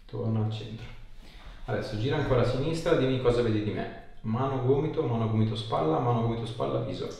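A man's voice: medium pitch, fast talker, darkish tone. Man in a very echoey room. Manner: directive, short repeated questions. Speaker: chiropractor examining a patient. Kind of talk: hands-on clinical exam with short instructions and questions. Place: indoor treatment room. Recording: very echoey room, faint noise, clicks.

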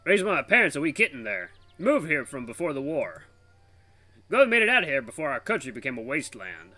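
A man's voice: on the high side, sing-song, brisk, sharp tone, no echo, soft background music, voice pushed up, heavily acted. A man voicing a hearty, folksy tiger in his fifties with a British accent, in a visual novel read-aloud. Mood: bitter. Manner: folksy, hearty, calls people lad and mate. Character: cheerful chatty tiger man in his fifties, war refugee.

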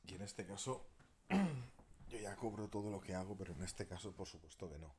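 Deep-voiced man rambling to followers. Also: lively intonation, normal speed, a little hiss or hum. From Spain. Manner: rambling, thinking aloud while working a device, at times muttering half-whispered. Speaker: alternative healer. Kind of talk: casual live video talk to followers.

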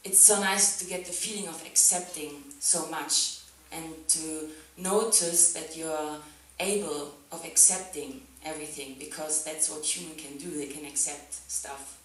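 A woman's voice: deep voice, fairly even intonation, measured pace, hardly any pauses, fairly bright tone. A young woman from Germany, a non-native English speaker, talking thoughtfully about her art on stage at a festival, with lots of "like" and "um" fillers. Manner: thoughtful, many "like" and "um" fillers, laughs often. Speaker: festival artist guest. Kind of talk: artist's talk, casual reflection. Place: theatre stage on a sofa before an audience. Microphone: handheld mic. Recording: very echoey hall, speaker far from the camera.

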